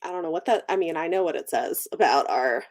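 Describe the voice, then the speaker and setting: A woman's voice: sits low, lively intonation, fast. A woman hosting a casual livestream book chat, talking conversationally and thinking aloud as she goes. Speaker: book channel host.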